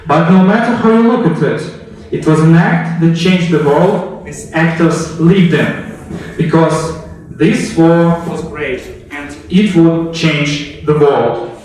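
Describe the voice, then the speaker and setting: A man's voice: fairly high pitch, slow, darkish tone. A man giving a storytelling speech as a public speaker, narrating history dramatically in the second person and putting rhetorical questions to his listeners. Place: echoey indoor room, recorded from a distance. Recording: very echoey room, distant microphone.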